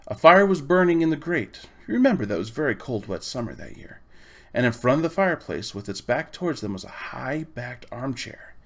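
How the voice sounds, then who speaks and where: A man's voice: mid-range voice, sing-song, a little fast. Man narrating a storybook expressively. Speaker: audiobook reader.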